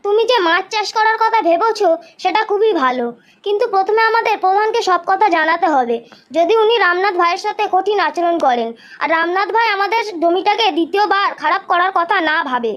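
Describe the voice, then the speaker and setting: A woman's voice: extremely high pitch, brisk, a bit bright. A woman voicing a sensible, supportive farmer's wife in a cartoon, earnest and advising. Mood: cautious.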